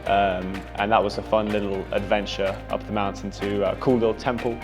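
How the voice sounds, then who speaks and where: Man in his twenties, from the UK, low voice, upbeat and chatty, music playing behind. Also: flat delivery, brisk. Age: 20s. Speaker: trip participant. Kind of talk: casual interview answers.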